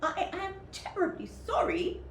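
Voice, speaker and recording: A woman voicing a meek, hopeful female hippopotamus from a storybook, apologetic and hesitant. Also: a little slow. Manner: apologetic, hesitant, high-voiced pleading. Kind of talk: storybook character voice. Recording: roomy echo, faint background noise.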